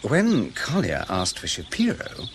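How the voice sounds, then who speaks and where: An actor playing a sceptical, exacting British intelligence officer in a radio spy drama, educated, dry and probing, with a testy edge. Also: male voice, medium pitch, huge pitch swings, a little slow, a little hiss or hum.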